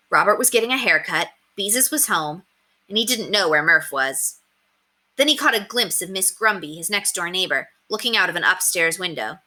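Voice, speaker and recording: A woman reading a children's story aloud with expressive, dramatic emphasis. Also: on the low side.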